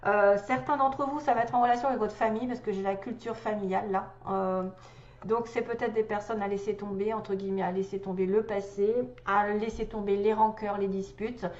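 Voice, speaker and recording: A woman's voice: mid-range voice, steady tone, fairly quick, a little mellow, roomy sound, highs cut off. A woman with a cold giving a casual tarot reading, chatty, with many hesitations and little laughs. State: has a cold. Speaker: tarot reader.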